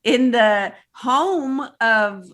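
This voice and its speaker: Lady with a bright highish voice, chatty tv recap cohost, super informal. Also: very slow. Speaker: co-host of a TV recap show. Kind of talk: casual chat recapping a TV episode.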